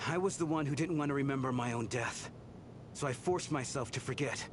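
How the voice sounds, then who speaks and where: An actor playing the remorseful ghost of a devoted bodyguard in a video game, earnest, wistful and self-effacing. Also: male voice, medium pitch, fairly quick, noisy background, close to an everyday voice. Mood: dawning realisation. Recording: game music behind the voice.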